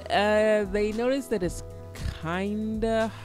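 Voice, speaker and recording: A woman co-hosting a podcast with her husband, speaking softly and thoughtfully with a stutter. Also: medium pitch, fairly even intonation, slow pace, soft background music. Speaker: podcast co-host. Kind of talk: casual chat between spouses.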